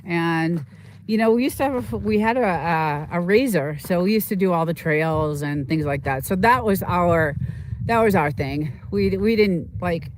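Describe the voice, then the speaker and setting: A woman's voice: lowish voice, expressive, normal speed, slight background noise. A woman host chatting casually and informally on a livestream, rambling and often repeating herself as she talks to viewers.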